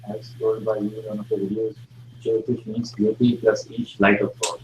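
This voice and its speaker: A man's voice: on the low side, level tone, moderate pace, darkish tone, a little hiss or hum. A male student answers the teacher with a definition he remembers. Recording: distant, echoey classroom pickup.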